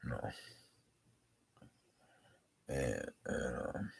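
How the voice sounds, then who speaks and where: A man vlogging slowly and rambling, congested with a scratchy throat, repeating "you know". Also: extremely low pitch, big pitch swings, gravelly, breathy edge. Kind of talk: rambling personal vlog.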